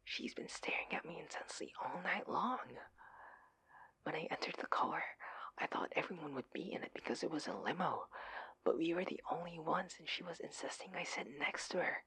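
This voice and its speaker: A man playing an anxious, adorkable husband in an intimate audio roleplay, speaking in a breathy, pleading manner. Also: on the low side, moderate pace, darkish tone, rough recording. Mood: panicked whispering. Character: adorkable, anxious, oblivious husband, affectionate and flustered.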